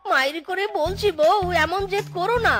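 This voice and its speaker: A woman's voice: extremely high pitch, normal speed, music in the background. A woman voicing a greedy, boastful fox husband in a comic Bengali cartoon, wheedling and grumbling. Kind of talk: Bengali animated comedy story dialogue.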